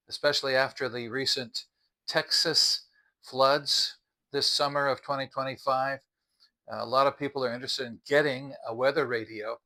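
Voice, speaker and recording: Bright, forceful man hosting a gadget review. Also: mid-range voice. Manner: conversational, explanatory, addresses viewers as friends. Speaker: host of a product review channel. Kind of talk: casual product review talk to camera.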